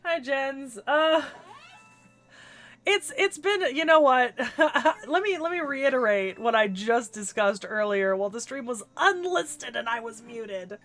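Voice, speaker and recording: A woman's voice: sits high, animated, a little slow, a bit bright, soft background music. Congested woman rambling on a game stream. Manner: rambling and chatty, with many restarts and casual swearing. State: stuffy and congested from a cold. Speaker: streamer playing a video game. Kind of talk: casual livestream chat while gaming.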